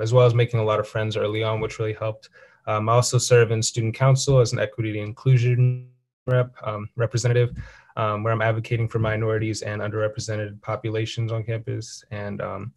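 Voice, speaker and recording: A young man speaks on a student webinar panel from a video call. His voice is fairly low and very flat in pitch, and his steady talk is dotted with fillers. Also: a little hiss or hum. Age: young adult. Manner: steady, even delivery with frequent "um". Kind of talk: casual self-introduction.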